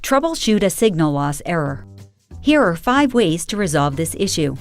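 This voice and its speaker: A woman's voice: lowish voice, big pitch swings, normal speed, soft background music. A woman narrating a scripted troubleshooting tutorial. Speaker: instructional video narrator.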